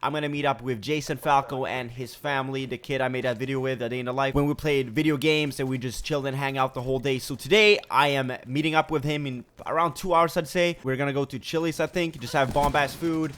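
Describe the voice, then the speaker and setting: A man's voice: mid-range voice, fast talker, few pauses. Young Swedish man vlogging with a cold. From Sweden. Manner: energetic, rambling, with frequent fillers. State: has a cold and sore throat. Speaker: gaming YouTuber. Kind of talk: casual vlog chat.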